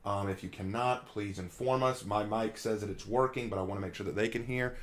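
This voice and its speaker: A man's voice: on the low side, steady tone, a little fast. A man hosting a live stream, talking in a friendly, chatty way with frequent ums and uhs. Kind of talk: casual live stream commentary.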